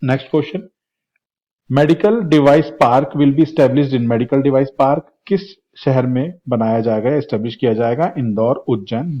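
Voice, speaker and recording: Husky Indian male teacher, slightly hoarse. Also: mid-range voice. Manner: repeats questions in Hindi and English. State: slightly hoarse, sore throat. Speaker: exam coaching teacher. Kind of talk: quiz-style current affairs lecture. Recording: dull, lo-fi lecture audio.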